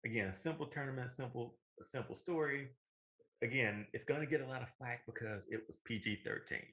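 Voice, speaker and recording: Bright-voiced American man, enthusiastic and emphatic. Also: medium pitch, fairly quick. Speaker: co-host of a movie and video game show. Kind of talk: casual opinionated movie discussion.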